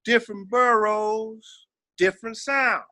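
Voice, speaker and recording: A middle-aged man with a Southern American accent and a forceful, bright voice pitched on the high side. He talks as a veteran guest reminiscing, streetwise and emphatic, swearing freely. The sound is close and dry. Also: fairly even intonation, takes it slowly, no room sound. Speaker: interview guest, veteran rapper. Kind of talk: casual interview reminiscing.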